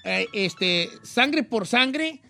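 A man's voice: extremely high pitch, animated, drawn-out words, gravelly, bright, forceful tone. A male talk show host in casual conversation, enthusiastic and mixing Spanish with English.

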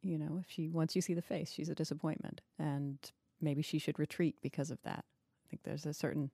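A woman hosting a literary podcast, speaking in a measured, articulate and analytical way. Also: low-pitched, flat delivery, warm tone, no room sound, studio quality. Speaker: podcast host, interviewer. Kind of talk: literary interview and podcast narration.

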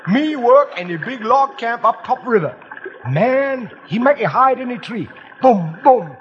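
An excitable, frantic camp cook in an old radio play, talking in broken pidgin English in a very high, shrill voice. The recording sounds dull and muffled, with a clear hiss running under it. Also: male voice, a little slow. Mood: urgent. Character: frantic panicky male camp cook in a canoe. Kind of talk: 1950s radio acting.

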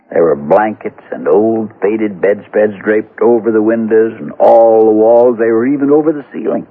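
An actor playing a sober, plain-spoken American sheriff, telling his story in a measured old-time radio drama style. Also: fairly low pitch, husky. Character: sober western sheriff recounting his investigation. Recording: tinny radio recording, faint music.

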